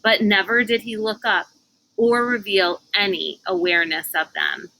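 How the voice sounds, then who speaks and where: A woman reading a children's novel aloud as narrator, with expressive, deliberate, dramatic phrasing. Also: mid-range voice, poor audio.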